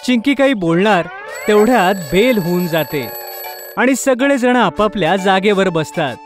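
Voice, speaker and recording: A woman's voice: mid-range voice, animated, a little slow. A woman narrator reading a scripted children's story. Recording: music behind the voice.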